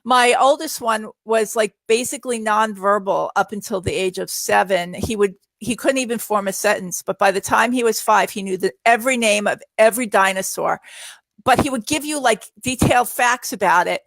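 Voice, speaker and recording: A woman's voice: medium pitch, normal speed, fairly bright tone, dry recording. A middle-aged woman chatting casually in a live Q&A, telling anecdotes and repeating her words as she goes. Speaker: guest parent and experienced product user answering viewer questions.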